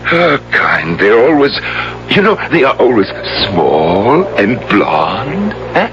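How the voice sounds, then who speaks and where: Halting, tormented madman, wildly swinging pitch. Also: huge pitch swings. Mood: bitter rambling. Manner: halting, repeating words, rambling obsessively. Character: deranged, tormented male killer, bitter toward women, self-pitying. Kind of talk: 1970s radio drama acting. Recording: dull, hissy old radio recording.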